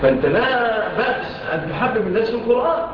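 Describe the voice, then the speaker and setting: A man's voice: sits high, animated, fast. A man teaching a religious lesson, mixing formal with colloquial asides and rhetorical questions. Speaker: Islamic teacher explaining hadith. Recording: echoey distant dull recording with noise.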